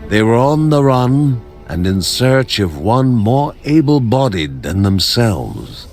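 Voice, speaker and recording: A man playing a solemn storyteller, narrating a fantasy game like a storybook legend in measured, scripted phrases. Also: medium pitch, sing-song, darkish tone. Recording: music behind the voice. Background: music.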